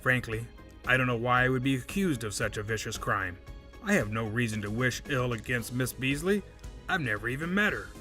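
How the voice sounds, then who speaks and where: A man's voice: medium pitch, animated, a little fast, pushed and bright, soft background music. A man playing a smug, evasive suspect on the witness stand in an audio drama, speaking in a formal, condescending, precise way. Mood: feigned innocence.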